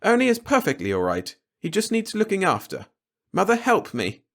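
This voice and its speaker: A man's voice: high-pitched, wildly swooping pitch, moderate pace, vocal fry, a bit bright, pitched above the performer's own voice, exaggerated voice. A man voicing a spoiled, theatrical young woman of nearly twenty in a storybook reading. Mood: indignant, pleading. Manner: breezy, theatrical.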